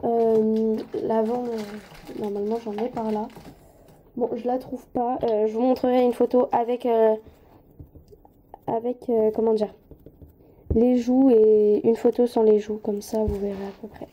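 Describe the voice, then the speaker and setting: A woman's voice: a little high, little pitch movement, unhurried, mellow, husky, lo-fi sound. A woman with a slight head cold giving a casual hands-on craft tutorial, informal and hesitant, often apologizing as she goes.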